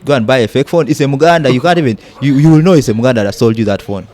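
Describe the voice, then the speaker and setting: A man's voice: mid-range voice, rapid-fire, a little mellow. Blunt, emphatic young man from South Sudan, guest in a candid interview. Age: young adult. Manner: blunt, emphatic, mimics people in quotes.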